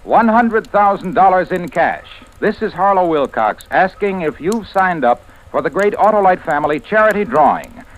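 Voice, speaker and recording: A male pitchman from old-time radio with a fairly high, very lively voice. He sells emphatically in scripted announcer style on a vintage broadcast recording. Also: moderate pace, noisy background. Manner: emphatic salesman.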